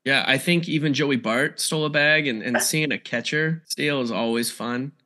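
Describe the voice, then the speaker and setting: An American man with a slightly hoarse, tired voice, hosting a podcast and chatting casually about sports. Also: medium pitch, moderate pace, sharp tone. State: a little tired and hoarse from cheering. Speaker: podcast host.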